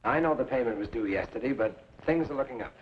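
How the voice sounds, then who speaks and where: Animated genteel old-West newspaperman played by a man. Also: mid-range voice, fast, slight background noise, lightly acted. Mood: anxiously placating. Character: amiable, anxious older newspaper publisher of the frontier. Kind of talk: old-time western radio drama acting. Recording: muffled old radio recording with music.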